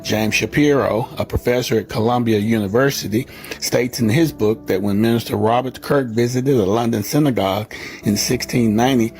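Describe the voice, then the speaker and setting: A man giving scripted documentary narration in a measured, steady reading. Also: medium pitch. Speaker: video narrator. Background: faint music.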